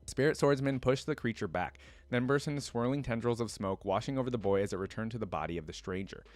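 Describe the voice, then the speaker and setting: A man's voice: mid-range voice, fast. A man hosting a reaction video, reading a fantasy story aloud and breaking off for casual comments.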